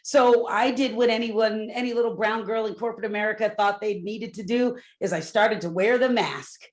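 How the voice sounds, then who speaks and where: A middle-aged woman from Newport, Rhode Island, a loud and candid corporate executive telling her career story on a panel with asides to the audience. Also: medium pitch, normal speed. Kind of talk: panel talk, personal story.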